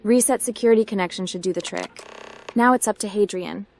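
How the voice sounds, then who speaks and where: A woman's voice: medium pitch, brisk, gravelly, a little mellow, slight background noise, natural voice. A woman voicing a plucky young female hacker and adventurer, the protagonist of a video game roleplay. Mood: focused, self-talk.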